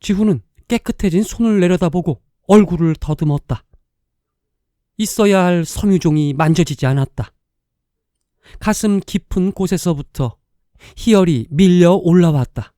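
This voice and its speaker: A man's voice: high voice, moderate pace, dark tone, no echo, studio quality. A man reading scripted story narration as the narrator of a drama. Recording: music behind the voice.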